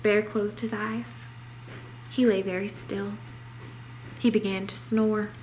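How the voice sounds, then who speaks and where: A woman's voice: medium pitch, flat delivery, slow pace, background noise. A woman reading a children's story aloud expressively, as a library storytime reader.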